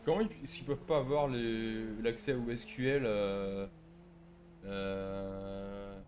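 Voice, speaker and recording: A man's voice: on the low side, little pitch movement, slow pace, a little mellow, faint music behind. A man giving casual, slangy banter and commentary while playing an online game, provocative and mocking in manner. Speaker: gaming video creator playing online roleplay.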